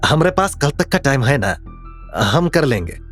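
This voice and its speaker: A man's voice: fairly high pitch, big pitch swings, moderate pace, a bit bright, soft background music. A man voicing an earnest, reassuring young man in an audio drama, soothing and respectful in a colloquial way. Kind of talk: Hindi audio drama acting.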